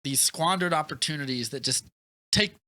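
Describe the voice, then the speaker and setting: A man with a bright, forward voice pitched a little high talks films casually as a podcast co-host. He tells things in an animated way, acting out little scenes as he goes. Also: normal speed. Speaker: podcast co-host reviewing a film. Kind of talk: casual film discussion chat.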